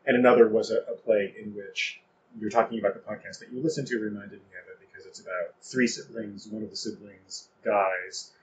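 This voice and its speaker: A man's voice: lowish voice, steady tone, fairly quick. A man in his fifties in casual, reflective podcast discussion, often chuckling as he talks. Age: 50s. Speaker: podcast co-host. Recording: dull lo-fi audio, clearly noisy.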